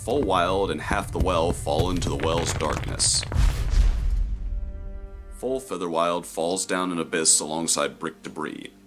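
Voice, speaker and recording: A man reading scripted story narration in a measured, descriptive way. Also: deep voice, steady tone, a bit bright, faint music behind.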